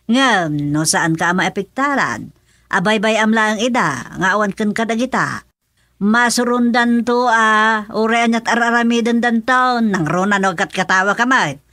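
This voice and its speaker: A woman's voice: on the high side, moderate pace, bright, forceful tone, dry recording. Woman acting bossy scolding ilocano wife, radio comedy, emphatic and chatty, close dry audio.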